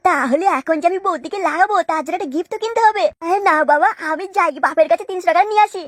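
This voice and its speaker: A woman's voice: very high voice, quick pace, no room sound, studio quality. A woman voicing a cheeky, haggling, money-minded young boy in a comic cartoon. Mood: cheeky plotting. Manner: pert, haggling.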